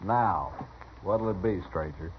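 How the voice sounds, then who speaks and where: An actor playing a surly, sarcastic American bartender with a husky voice in a radio western. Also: male voice, deep voice, takes it slowly, a little hiss or hum. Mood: sour, contemptuous. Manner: gruff, sarcastic. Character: surly, sneering Old West saloon bartender. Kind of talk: 1950s radio western acting. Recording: muffled 1950s radio recording.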